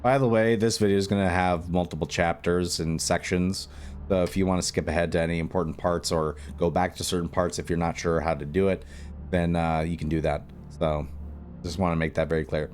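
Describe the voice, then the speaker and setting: A man explaining steps in a casual coding tutorial, haltingly thinking aloud as he goes. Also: sits low, darkish tone. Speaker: tutorial host.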